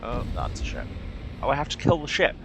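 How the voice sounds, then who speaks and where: A man's voice: medium pitch, wildly swooping pitch, fairly quick, gravelly. A man giving casual video game playthrough commentary with dry, wry, self-mocking humour. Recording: loud game noise behind voice. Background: video game sound, vehicle noise.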